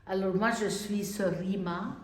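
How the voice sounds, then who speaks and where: A woman's voice: medium pitch, fairly even intonation, a little slow. A woman from Syria, a Carmelite nun, speaking earnestly and with feeling, sometimes searching for words. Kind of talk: interview testimony. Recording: echoey room, distant mic.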